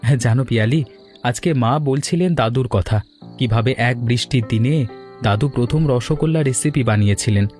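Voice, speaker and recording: A man's voice: mid-range voice, fairly quick, darkish tone, lightly acted. A man voicing an earnest, devoted grown son in cartoon dialogue, with music behind him. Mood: earnest, reflective.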